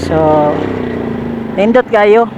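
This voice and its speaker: High-voiced Bisaya man vlogging over road noise. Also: extremely slow, dull audio. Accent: Filipino, Bisaya. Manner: informal, repeats phrases, addresses viewers as pals. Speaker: motorcycle delivery rider vlogger. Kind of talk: casual vlog chat while riding. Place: outdoors riding a motorcycle in traffic. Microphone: helmet intercom microphone. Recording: helmet intercom with loud traffic noise.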